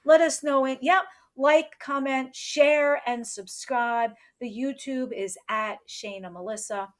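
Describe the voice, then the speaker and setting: A woman's voice: high voice, takes it slowly. A woman hosting a chatty live interview show, with an exuberant, cheering manner. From New York, United States. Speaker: show host.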